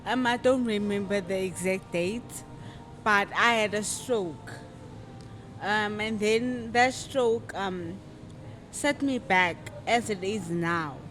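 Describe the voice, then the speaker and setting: Slow, reflective young woman guest. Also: mid-range voice, a bit bright, slight background noise. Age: 20s. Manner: reflective, thinks aloud with "like" and "okay, fine". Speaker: podcast guest, modeling agency founder. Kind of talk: personal story in casual interview.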